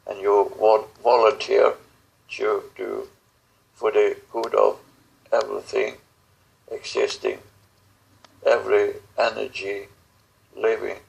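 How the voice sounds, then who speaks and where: Very slow, gravelly man, halting delivery. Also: fairly low pitch, level tone, low-quality recording. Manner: halting, solemn, broken phrases with long pauses. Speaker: channeler speaking as star beings in a guided session. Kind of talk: channeled spiritual message.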